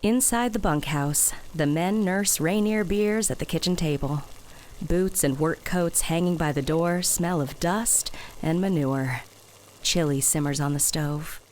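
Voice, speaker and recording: Female narrator for scripted audio drama, deep register, reading scene directions. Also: unhurried, a little mellow, a little hiss or hum, rough recording.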